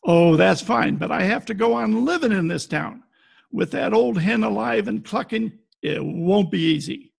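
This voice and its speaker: Casting: male actor as cynical private eye, high-set voice full of gravel, wry and tough, old radio play over a video call. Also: moderate pace, exaggerated voice. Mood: cold, hard bargaining. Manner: wry, deadpan, tough-talking. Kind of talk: 1940s detective radio acting, narration and dialogue. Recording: video call recording with faint music behind.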